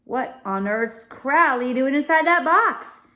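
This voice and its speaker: A woman's voice: sits high, animated, slow, pushed and bright, voice pushed up, exaggerated voice. A woman voicing an astonished, eager young girl in a children's storybook, exclaiming in a polite, old-fashioned way. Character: wide-eyed young girl, astonished and eager to play along.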